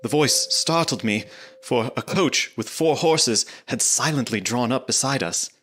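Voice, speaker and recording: A man's voice: mid-range voice, a little slow, fairly bright tone, husky. An actor playing an earnest, apprehensive young English clerk narrating his journal in a gothic audio drama.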